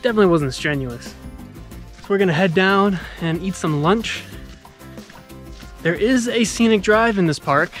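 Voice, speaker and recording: A man's voice: sits high, normal speed, pauses often, faint music behind. Easygoing young man vlogging on a trail. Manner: easygoing, chatty, self-mocking. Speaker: travel vlogger. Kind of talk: casual travel vlog narration. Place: outdoors on a mountain trail and inside a camper van. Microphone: handheld camera at arm's length.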